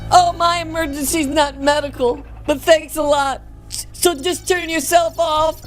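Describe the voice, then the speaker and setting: A woman's voice: high voice, fairly even intonation, unhurried. A woman voicing a small, scheming fairy villain in a cartoon, with a breezy, mocking way of talking. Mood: breezy, dismissive. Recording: long reverb, music behind.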